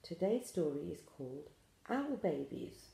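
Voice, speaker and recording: A woman in her forties gently reading a picture book aloud to pre-school children, with expressive storytelling. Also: low voice, a little slow, gravelly, roomy sound. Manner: gentle, addressing small children. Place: small room indoors.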